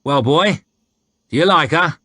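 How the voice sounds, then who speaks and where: A man voicing a coarse, bullying soldier who haggles loudly over a price. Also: animated, a little slow, no room sound, studio quality, full character voice. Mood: mocking. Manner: loud, high and bullying. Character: coarse loud male slave-driving soldier, crude and haggling.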